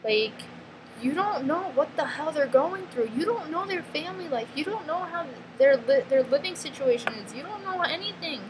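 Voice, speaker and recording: A woman from the northeastern US with a New York accent speaks in a slightly high voice, blunt and emphatic, breaking off and restarting her sentences. Steady background noise sits clearly under her voice. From northeastern US, near New York. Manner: blunt, emphatic, peppered with "freaking" and "like"; halting, restarts mid-sentence. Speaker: vlogger. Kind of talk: confessional vlog monologue. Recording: noticeable background ambience noise.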